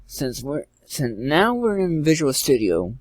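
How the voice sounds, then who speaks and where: Higher-pitched man narrating a software tutorial. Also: very animated, no echo. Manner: informal, halting, repeats and corrects himself. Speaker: tutorial presenter. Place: indoors, screen-recording voice-over.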